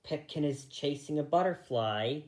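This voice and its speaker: A man reading a children's story aloud, a little halting, going back over misread phrases. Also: on the high side, expressive, darkish tone, roomy sound. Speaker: storybook reader.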